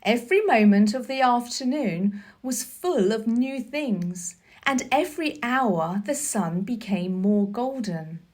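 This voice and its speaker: A woman storyteller reading a children's book aloud in a deliberate, expressive teacher's manner. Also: on the high side. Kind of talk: scripted storybook narration.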